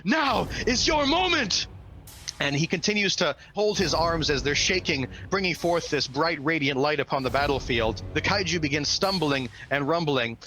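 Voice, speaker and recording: A man's voice: on the high side, normal speed, hardly any pauses, slight background noise. A man working as a game master, giving dramatic narration for a tabletop fantasy game. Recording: loud music behind the voice.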